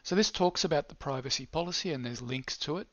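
An older man presenting an unscripted screencast walkthrough of educational software. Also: on the high side, fast talker, no room sound. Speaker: presenter of an educational technology demo. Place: small indoor room. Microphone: headset. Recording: slightly dull, band-limited headset audio.

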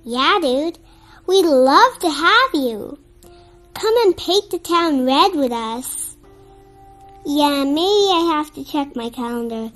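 Very high, slow-reading child's voice. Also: expressive, fairly bright tone, soft background music. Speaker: storybook reader. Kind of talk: children's picture book read-aloud.

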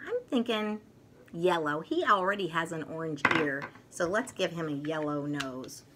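A middle-aged woman reads and teaches to young children in an expressive, deliberate, sing-song storytelling manner. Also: low voice, heavy background noise. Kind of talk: picture book read-aloud for young children. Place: furnished room at home, indoors.

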